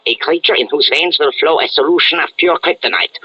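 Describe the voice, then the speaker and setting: An actor playing a megalomaniac German Nazi villain, menacing and grandiose, with a German accent in 1940s radio style. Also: male voice, fairly high pitch, fairly quick, husky, lo-fi sound.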